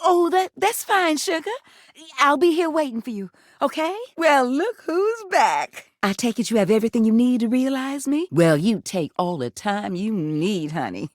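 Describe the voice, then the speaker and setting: A woman voicing a sultry, affectionate female household water heater in a video game, a gravelly voice and a folksy drawl full of pet names. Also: a little high, sing-song, moderate pace, dry recording, studio quality.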